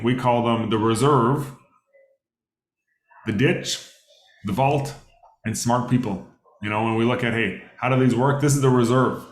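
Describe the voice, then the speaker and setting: Guy in his 30s running a money workshop, chatty, lots of uhs, roomy echo. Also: mid-range voice. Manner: conversational, many fillers, asks the audience questions. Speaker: financial workshop presenter. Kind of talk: casual workshop lecture.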